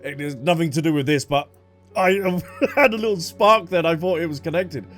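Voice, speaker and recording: A man's voice: a little high, normal speed, fairly bright tone, soft background music. A man giving casual, jokey, exclamatory reaction commentary as a gaming streamer.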